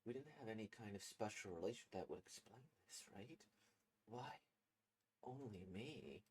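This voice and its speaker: A man performs an anxious student haunted by a ghost, telling a horror manga tale as inner monologue. The voice sits slightly low and stays hushed and brooding, with a suspenseful edge. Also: animated, moderate pace, slight background noise, lightly acted. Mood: brooding, uneasy. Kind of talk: dramatic horror manga reading.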